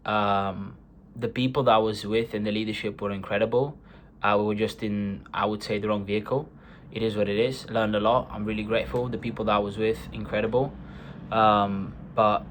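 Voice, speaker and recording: A man's voice: fairly low pitch, flat delivery, normal speed, a little hiss or hum. A man in his twenties from Bulgaria, talking earnestly in a rambling, personal vlog monologue with many fillers. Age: 20s. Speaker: vlogger.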